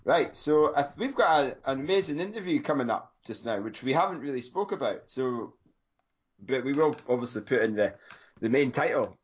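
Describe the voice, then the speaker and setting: A man's voice: mid-range voice, lively intonation, moderate pace, lo-fi sound. A Scottish man co-hosting a running podcast, joking and self-mocking in casual chat. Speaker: podcast co-host.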